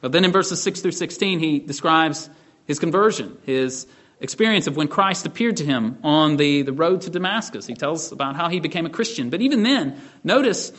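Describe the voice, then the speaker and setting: Casual Bible teacher, fairly high voice. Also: male voice, fairly bright tone. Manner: conversational explaining, frequent "right?" and restarts. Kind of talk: Bible study lesson.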